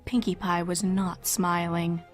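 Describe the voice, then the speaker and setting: A woman's voice: on the low side, flat delivery, measured pace. A woman reading scripted fan fiction as a storybook narrator. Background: background music.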